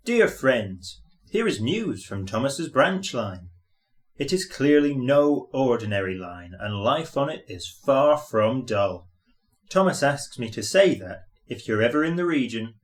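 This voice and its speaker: A man's voice: mid-range voice, expressive, measured pace. A man reading a scripted children's story as narrator.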